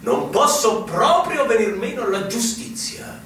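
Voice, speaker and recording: In a very reverberant, distant recording, a senior army commander for a radio play. His high-pitched voice swings widely as he talks down to his junior with pompous, slippery formality. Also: sing-song, unhurried, a bit bright, full character voice. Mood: evasive, dismissive. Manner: theatrical, condescending, formal. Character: pompous, evasive senior military commander, male, older. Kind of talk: stage-style radio drama acting. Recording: very echoey, distant, faint noise.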